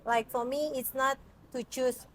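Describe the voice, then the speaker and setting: A woman's voice: high-pitched, fairly even intonation, unhurried, a bit bright, slight background noise. A young woman with an Indonesian accent, a language specialist who gives plain answers with everyday examples as a guest in an interview. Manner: explains plainly with examples, often adds "right?". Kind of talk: casual interview.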